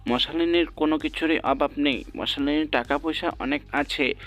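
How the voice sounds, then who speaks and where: A man's voice: fairly high pitch, moderate pace, a bit bright. A man playing a reproachful male animal in a cartoon. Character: reproachful male animal gentleman defending his friend. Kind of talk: cartoon dialogue.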